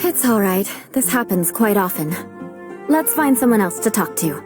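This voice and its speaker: A woman's voice: mid-range voice, lively intonation, normal speed, close to an everyday voice. A woman playing a proud, stoic young noblewoman knight in a video game, speaking with formal, poised diction. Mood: resigned. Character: proud young aristocratic lady knight, stoic, quietly vengeful. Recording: prominent background music.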